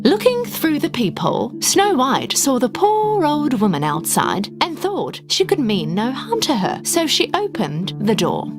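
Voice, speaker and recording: A woman narrating a scripted children's fairy tale in an expressive storytelling manner. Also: sits high, unhurried, hardly any pauses, over music.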